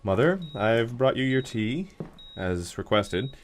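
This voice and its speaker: An actor playing a dutiful but quietly resentful grown son in an audio suspense drama, obsequious with a sinister edge. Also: male voice, medium pitch, lively intonation, slow, slight background noise. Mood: coolly obedient.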